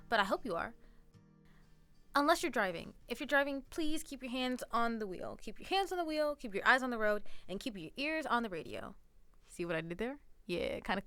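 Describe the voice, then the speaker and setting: A woman presents a radio show in a fairly high voice. She is informal and playful, talking straight to listeners in a dry studio sound over soft music. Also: dry recording. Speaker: radio program host. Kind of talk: chatty radio hosting.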